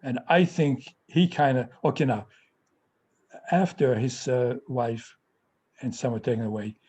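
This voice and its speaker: An elderly man in his eighties, originally from France and a native French speaker, answers audience questions with personal memories. His voice is warm and husky. He talks haltingly, stopping often to restart and fill with "yeah". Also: medium pitch, steady tone. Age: 80s.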